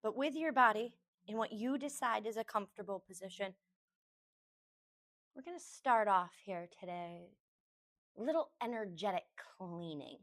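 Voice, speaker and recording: A woman yoga teacher leading a guided meditation, giving gentle, unhurried instructions in short phrases with pauses between them. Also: mid-range voice, lively intonation.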